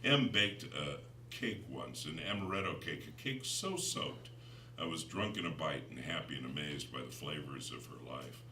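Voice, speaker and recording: American male poet, low forceful voice, deliberate delivery, for a live reading. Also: animated. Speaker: poet reading own work. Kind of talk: live poetry reading with spoken introductions. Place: live reading in a room before an audience. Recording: roomy, distant mic, faint noise.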